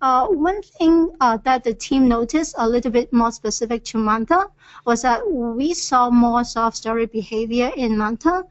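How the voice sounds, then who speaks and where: A woman's voice: on the high side, normal speed. A woman presenting engineering field observations on a webinar, with frequent hesitant fillers. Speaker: earthquake reconnaissance presenter. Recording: dull lo-fi webinar audio.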